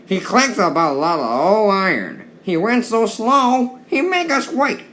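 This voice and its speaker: A man's voice: sits high, very animated, unhurried, sharp tone, slight background noise, pitched above the performer's own voice, full character voice. A man voicing a vain, impatient steam engine in a children's storybook, dramatic and exclamatory. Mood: grumbling, cross. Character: vain, impatient male steam engine, grumbling then panicking. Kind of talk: children's storybook read-along.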